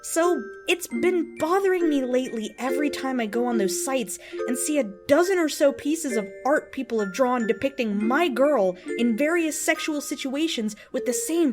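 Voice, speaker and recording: A woman voicing an earnest, lovestruck and easily offended devotee in a dramatic, emphatic reading of an open letter. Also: sits high. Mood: earnest, defensive. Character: earnest, lovestruck, indignant devotee of a cartoon pony.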